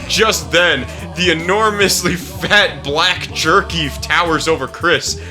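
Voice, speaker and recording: A young adult man doing casual host commentary and reading a summary aloud, sweary and amused. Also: a little high. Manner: sweary, amused, often breaking into laughter. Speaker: video host.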